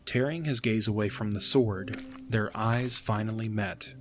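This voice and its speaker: A man's voice: lowish voice, measured pace, fairly bright tone, soft background music. A man giving scripted narration of a fantasy audio drama as its narrator.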